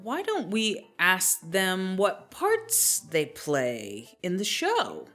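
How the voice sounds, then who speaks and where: A woman's voice: fairly low pitch, huge pitch swings, extremely slow, a bit bright, faint music behind. A woman hosting a show with scripted banter and introductions. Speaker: host.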